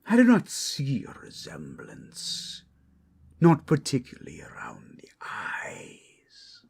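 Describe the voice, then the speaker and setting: A man voicing a proud red dragon, a menacing yet tender protector, in a gravelly, breathy voice with slow, grandiose delivery for a fantasy ASMR roleplay. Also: deep voice, full character voice. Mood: suspicious, mocking.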